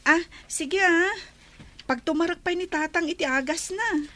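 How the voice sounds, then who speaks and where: A woman's voice: very high-pitched, unhurried. A woman with an Ilocano accent playing a sweet, deferential younger sister in a radio comedy-drama. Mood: sweet, eager.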